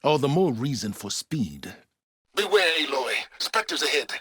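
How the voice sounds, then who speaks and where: An actor playing an arrogant, secretive older scholar in a video game, gravelly and pompous, with deliberate, condescending formal phrasing. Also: male voice, medium pitch, very animated, fairly bright tone, no room sound, clean studio sound, full character voice. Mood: urgent, commanding. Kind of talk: video game dramatic acting.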